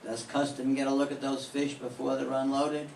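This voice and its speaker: Heard from across a roomy classroom with a faint hiss, an older man doing a wisecracking male private eye, talking at an average pitch. His lines come out clipped, dry and tough-guy, in 1940s radio-play style. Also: flat delivery, normal speed, creaky, darkish tone, recorded from a distance, close to an everyday voice. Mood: terse. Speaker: amateur radio drama student.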